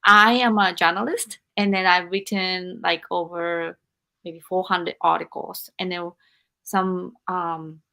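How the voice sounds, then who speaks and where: A woman from Japan, a non-native English speaker, hosting a live podcast interview and encouraging her guest while pausing to search for words. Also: lowish voice, steady tone, gravelly, sharp tone. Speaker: podcast host.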